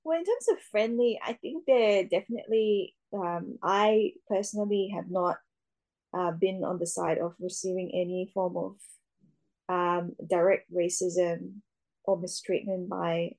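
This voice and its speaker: A young woman speaks in a mellow, relaxed voice as she answers interview questions about her acting work. She pauses often with fillers and restarts her sentences. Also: lowish voice, gravelly. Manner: hesitant, many fillers and restarts. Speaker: actor, interviewee. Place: Australia.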